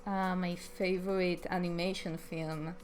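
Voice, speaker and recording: A young woman with an Israeli accent, her voice sitting a little low, chats loosely with her viewers as she works. She rambles and fills gaps with ums and uhs, and soft music plays faintly behind her. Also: level tone, measured pace. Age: young adult. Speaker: artist live streamer. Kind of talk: casual live stream chat answering viewers.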